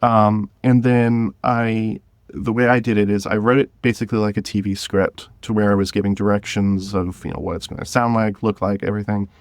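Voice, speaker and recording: A man's voice: lowish voice, flat delivery, a little fast, dry recording. A man speaking as a podcast guest, explaining his creative work in a casual, conversational way. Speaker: podcast guest, scriptwriter of an audio drama.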